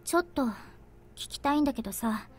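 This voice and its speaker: Casting: tender young visual-novel heroine, high pitch, halting, emotive, easily wounded. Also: female voice, lively intonation, faint music behind. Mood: questioning. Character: earnest young woman, tender, quick to hurt and anger. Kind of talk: Japanese visual novel voice acting.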